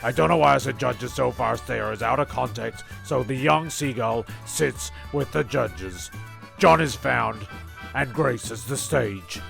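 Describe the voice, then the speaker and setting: A man's voice: medium pitch, measured pace, creaky, fairly bright tone, faint music behind. A man narrating a comic audio story, rambling with sweary asides. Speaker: narrator and creator.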